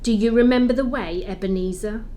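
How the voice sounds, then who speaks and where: A woman playing a calm Christmas spirit, questioning in a measured, solemn way in an audio play. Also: a little high, natural voice. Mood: probing. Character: calm, probing Christmas spirit guiding an old miser.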